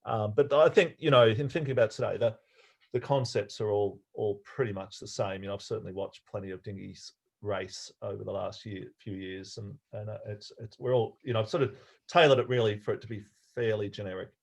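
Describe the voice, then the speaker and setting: A man's voice: lowish voice, fairly even intonation, a little fast, vocal fry. An Australian man giving an informal talk to a club over a video call, easygoing and rambling, often restarting his sentences. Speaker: guest speaker.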